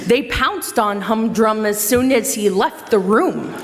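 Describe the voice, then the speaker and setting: Unhurried woman narrator in an echoey hall. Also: medium pitch, expressive, a little hiss or hum. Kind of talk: scripted storybook narration.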